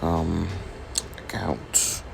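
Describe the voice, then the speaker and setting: A man with a raspy voice from being sick, hesitantly walking through his game account in a casual screen-recording chat. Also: deep voice, very slow, slight background noise. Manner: hesitant, many ums and restarts. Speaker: gaming channel host.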